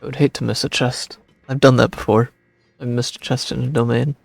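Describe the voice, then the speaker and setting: Gravelly, husky man streaming a game. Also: mid-range voice, steady tone, brisk, dry recording. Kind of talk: casual gameplay commentary. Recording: faint game music behind.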